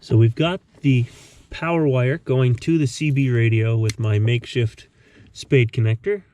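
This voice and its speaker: A man's voice: medium pitch, fairly even intonation, unhurried, dark tone, a little hiss or hum. A man narrating a casual hands-on DIY tutorial in a methodical, explanatory way. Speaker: host of a DIY car repair tutorial.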